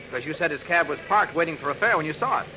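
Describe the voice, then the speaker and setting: An actor playing a calm, decisive newspaperman investigating, brisk and authoritative, in 1940s radio style. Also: a little high, background noise, close to an everyday voice. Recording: dull old radio recording, background voices.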